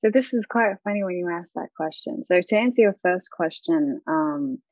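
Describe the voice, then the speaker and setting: A woman's voice: lowish voice, lively intonation, normal speed, darkish tone, no echo, muffled recording. A young woman with an Australian accent, a singer chatting in an interview, bubbly and rambling with lots of giggles.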